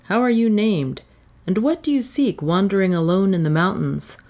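A woman voicing a grim, gloomy adventurer who answers tersely in measured tones. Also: mid-range voice, big pitch swings, a little mellow, pitched above the performer's own voice. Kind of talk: audiobook character reading.

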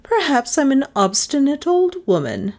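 A woman's voice: high-pitched, wildly swooping pitch, normal speed, darkish tone, voice pushed up, heavily acted. A woman doing an obstinate old lady. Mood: insistent. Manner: firm, insistent. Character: stubborn, proud elderly spinster.

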